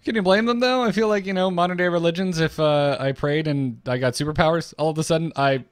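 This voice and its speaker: A man co-hosting a gaming podcast in casual chat, wry and a bit halting. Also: fairly high pitch, clean tone, no echo, studio quality. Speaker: podcast co-host. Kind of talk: casual chat about video game lore.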